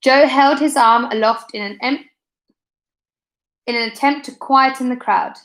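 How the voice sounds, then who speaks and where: A woman with a fairly high voice reads a children's story aloud. She is an expressive storytime reader who lifts her voice when the characters talk. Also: unhurried, poor audio. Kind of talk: reading a children's book aloud, live online storytime.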